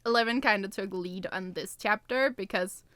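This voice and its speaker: A woman with a mid-pitched voice chats as a podcast co-host. Her delivery is informal and conversational, and the sound is dry and close on the microphone. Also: moderate pace, bright, forceful tone, no room sound. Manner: informal, swears casually. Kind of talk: casual chat.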